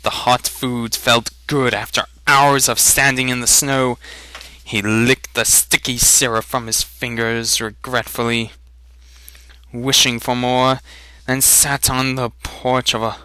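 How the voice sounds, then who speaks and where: Guy reading a fantasy story out loud, really bright clear voice, dry close sound. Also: mid-range voice, fairly even intonation, unhurried, no echo. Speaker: audiobook narrator. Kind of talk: dramatic reading of a fantasy novel.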